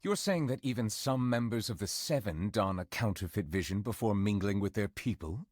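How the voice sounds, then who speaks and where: An actor playing a dignified, aloof, melancholy judge in a video game, speaking in formal, measured, eloquent phrases. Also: male voice, on the low side, darkish tone, no echo, lightly acted. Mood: taken aback. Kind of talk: scripted video game character voice lines.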